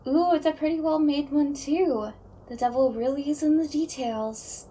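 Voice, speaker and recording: A woman's voice: sits high, steady tone, moderate pace, mellow, slight background noise, close to an everyday voice. A woman voicing a cheerful, childlike ghost who loves puns, playful and flighty, in a comedy audio roleplay. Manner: playful, flighty, pun-loving.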